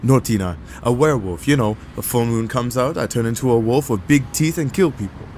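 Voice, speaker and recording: A man's voice: mid-range voice, fairly quick, a little mellow, a little hiss or hum, lightly acted. A man acting a troubled, earnest young man who confesses he is a werewolf, in an audio story. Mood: grave, insistent. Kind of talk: dramatic audio story dialogue.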